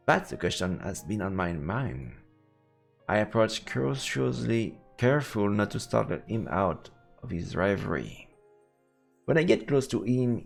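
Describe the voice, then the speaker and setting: A man's voice: lowish voice, gravelly. A man reading a visual novel aloud on a live stream in a playful way, with stammered asides. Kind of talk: live let's play, reading narration with reactions. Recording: background music under the voice. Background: game music.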